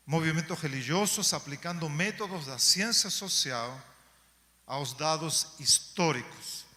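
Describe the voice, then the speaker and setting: A man from Chile with a Chilean Spanish accent speaking in a second language, giving an academic talk to students, unhurried, pausing often and tagging phrases with "não?". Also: a little high, bright, forceful tone. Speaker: guest lecturer. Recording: long hall reverb.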